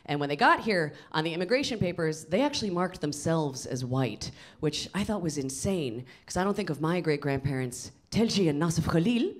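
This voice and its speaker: Animated American woman doing stand-up. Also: low voice, brisk, few pauses. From suburbs of Boston, United States. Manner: animated, emphatic delivery. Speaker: stand-up comedian. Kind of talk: stand-up comedy routine. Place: small indoor comedy venue with audience. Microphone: handheld microphone. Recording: live room with loud audience laughter. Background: audience laughter.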